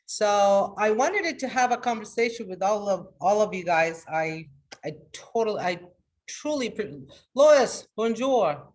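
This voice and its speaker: Energetic woman coach hosting a live talk. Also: mid-range voice, unhurried. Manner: energetic and emphatic, with many restarts. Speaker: life coach and trainer. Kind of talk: live motivational talk to an online group.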